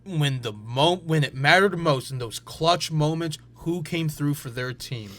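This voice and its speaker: A man's voice: fairly high pitch, a little slow, a bit bright. A young man co-hosting a sports podcast, arguing his picks in assertive bursts. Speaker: podcast co-host. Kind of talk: casual sports debate.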